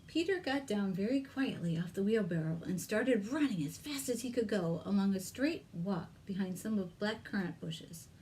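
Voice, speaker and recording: A woman with a mid-pitched voice reads a children's story in an expressive storytime style. She slips into chatty asides for her young listeners, some of them whispered. There is light noise in the recording. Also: normal speed, few pauses, low-quality recording. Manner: asks young listeners simple questions. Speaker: children's librarian leading storytime. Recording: noticeable background noise.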